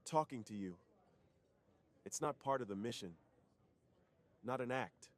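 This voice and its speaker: A man acts as a secretive superhero off duty, with a mid-pitched voice. He is polite and earnest, confiding shyly and intimately in an ASMR roleplay. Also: unhurried, close to an everyday voice. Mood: sincere, confessing.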